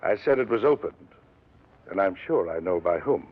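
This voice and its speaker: A self-important middle-aged businessman in an old radio drama. His voice sits a bit low and he explains things fussily, with evasive hesitations. The 1950s recording sounds dull and muffled. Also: lively intonation, moderate pace. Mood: guarded, defensive.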